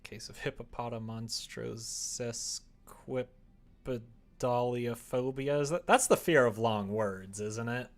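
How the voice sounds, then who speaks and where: A man chatting casually on a live stream, talking half to himself as he works, sometimes louder. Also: medium pitch, slow pace. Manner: mutters to himself while working, with sudden louder bursts. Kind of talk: casual stream chat while working. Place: indoors at a computer desk, keyboard typing behind.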